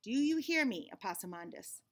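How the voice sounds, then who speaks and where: A woman voicing an exasperated storybook mama who scolds and instructs her silly child with drawn-out emphasis. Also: on the high side, sing-song. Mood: exasperated scolding.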